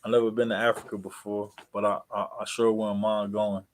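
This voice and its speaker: A man with an American accent chatting casually as a livestream host, in a halting, repetitive, rambling way full of fillers. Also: lowish voice, little pitch movement, slight background noise. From New Jersey, USA.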